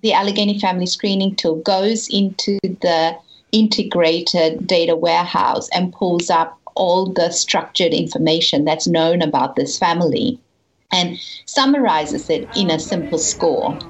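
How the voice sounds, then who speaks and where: A woman explaining a tool clearly in an interview. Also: fairly low pitch, a little slow, dull audio.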